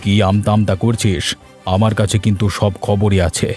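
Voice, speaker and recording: A man's voice: on the low side, level tone, normal speed, music in the background, lightly acted. An actor playing a stern, greedy village headman in a cartoon, commanding and threatening. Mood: stern, accusing. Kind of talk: Bengali cartoon voice acting.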